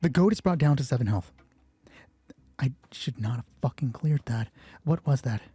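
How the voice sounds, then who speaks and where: A man's voice: mid-range voice, expressive, moderate pace, dark tone, breathy edge, dry recording. A man game mastering a solo roleplaying game, thinking aloud through dice rolls in casual, sweary commentary.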